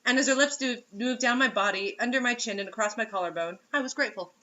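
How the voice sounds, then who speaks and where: A woman's voice: fairly high pitch, quick pace, pushed and bright, lo-fi sound. A woman reading a story aloud as host, in a mocking dramatic way, breaking off for sarcastic casual asides.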